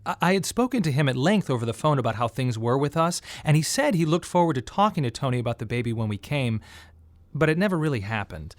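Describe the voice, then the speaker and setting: An actor playing a grieving father, speaking in a measured, wry radio monologue with pointed pauses. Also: a little high. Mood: wry, weary. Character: grieving bereaved father, wry, tense and plain-spoken. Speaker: narrator and lead of a monodrama. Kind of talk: dramatic first-person radio monologue.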